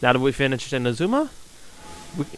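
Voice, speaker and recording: A man giving casual, off-the-cuff live gameplay commentary, stumbling over words and reading text aloud in halting phrases. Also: medium pitch, sing-song, fairly bright tone. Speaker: game streamer. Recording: faint game music behind.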